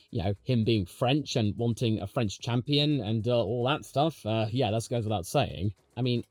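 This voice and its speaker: A man's voice: medium pitch, darkish tone, no room sound. A man giving opinionated video commentary that is scripted but broken by casual asides, with a sarcastic, theatrical manner. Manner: sarcastic, theatrical, mimics people he quotes.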